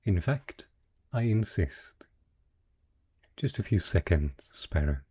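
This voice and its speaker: A man playing a wealthy dragon in human guise, a possessive, teasing merchant patron who coaxes the listener slowly and courteously in an intimate fantasy romance roleplay. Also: deep voice, animated, dark tone, husky, heavily acted. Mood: coaxing, insistent. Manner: slow, teasing, courtly, coaxing.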